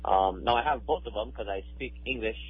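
A man's voice: lowish voice, brisk, husky, soft background music. Earnest man from Hawaii on a tinny line. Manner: earnest, emphatic, repeats words while building points. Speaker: activist guest. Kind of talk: radio interview.

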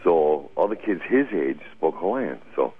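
An older man with a very low, husky voice tells meandering stories as a radio guest and laughs often. His voice comes through a narrow, tinny phone line. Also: sing-song, unhurried. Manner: rambling, anecdotal, laughs often. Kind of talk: radio interview storytelling.

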